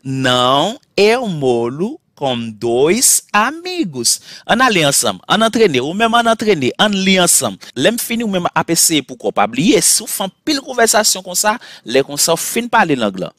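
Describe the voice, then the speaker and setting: A man teaching a language class, saying model phrases slowly and clearly for learners to repeat, then switching to quick explanations. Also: sits high, big pitch swings, fairly bright tone, no room sound, studio quality. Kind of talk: repeat-after-me language drill.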